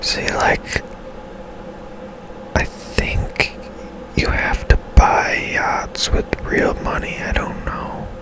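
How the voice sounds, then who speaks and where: Guy whispering asmr gaming commentary, real slow, casual musing, all hushed. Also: rough recording. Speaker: game streamer, ASMR host.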